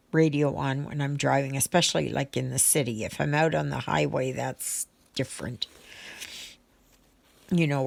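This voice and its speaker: A woman chatting casually as she does her crafts, rambling in a halting, unhurried way and thinking aloud. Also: extremely low pitch, gravelly, no room sound. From Canada. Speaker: craft vlogger. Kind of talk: casual rambling chat while stitching.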